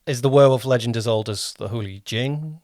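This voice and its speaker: Male podcast host, mid-pitched voice. Conversational and rambling, frequently rewording himself, dry recording. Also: fairly quick, darkish tone, no room sound. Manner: rambling, rephrases questions repeatedly. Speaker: podcast host and series producer. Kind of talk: casual interview.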